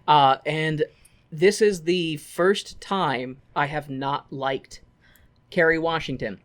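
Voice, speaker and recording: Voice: mid-range voice, fairly even intonation, slow pace. A podcast co-host gives a casual, comedic recap of a musical film. The delivery is sweary and theatrical, breaking into mocking acted-out quotes.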